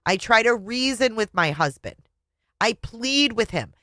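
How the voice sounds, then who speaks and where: A woman voicing an exasperated wife in a story, pleading and high-strung as she begs to be understood. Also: very animated, measured pace, fairly bright tone, no room sound, clean studio sound.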